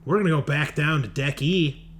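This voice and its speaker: A man improvising narration as game master of a tabletop game, often halting to search for words. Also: fairly high pitch, fairly bright tone. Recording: noisy room recording.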